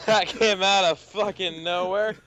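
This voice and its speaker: A man's voice: high voice, fairly even intonation, takes it slowly, a bit bright, muffled recording. A man co-commentating on a game with casual, joking banter.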